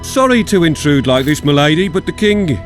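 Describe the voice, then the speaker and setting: An actor playing a nervous, deferential young royal messenger in a video game, with a formal, halting, herald-like delivery. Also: male voice, fairly high pitch, animated, over music, natural voice. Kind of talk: video game cutscene acting.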